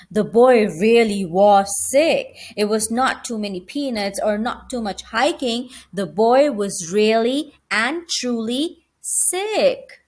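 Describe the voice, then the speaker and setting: A woman narrating a children's story as an expressive read-aloud. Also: on the high side.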